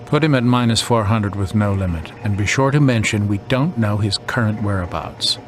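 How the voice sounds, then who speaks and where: An actor playing a cold, calculating boss in a video game, measured and commanding. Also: male voice, close to an everyday voice. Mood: cool, calculating. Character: cold, calculating male boss in control room. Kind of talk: video game cutscene acting. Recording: rumbling noise behind the voice.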